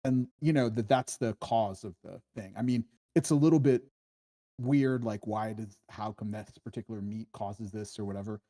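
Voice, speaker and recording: A man with a lowish voice and a mellow, relaxed tone. He talks off the cuff in a rambling, encouraging way, as if giving notes in a workshop. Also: moderate pace. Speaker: writing group member giving script feedback. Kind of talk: casual spoken critique.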